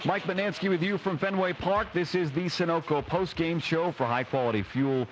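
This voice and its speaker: A man's voice: fairly high pitch, normal speed, highs cut off, music in the background. A man hosting a radio post-game show, recapping games and reading sponsor spots. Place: ballpark broadcast. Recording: clear background noise and ambience.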